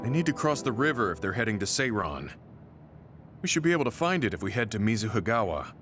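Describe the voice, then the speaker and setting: A man playing a stoic, skeptical veteran soldier in a video game, with a grave, measured delivery. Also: on the low side, big pitch swings, a bit bright. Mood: suspicious, grave. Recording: music behind dialogue. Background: game soundtrack music.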